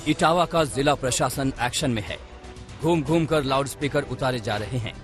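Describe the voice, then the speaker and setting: A man reporting a news story in a steady, formal voice-over. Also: medium pitch, normal speed. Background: faint music bed.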